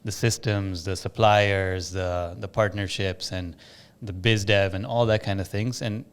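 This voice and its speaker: Guy hosting a business podcast, voice a bit low, casual chatty interviewing. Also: fairly even intonation, unhurried, smooth. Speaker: podcast host, business owner.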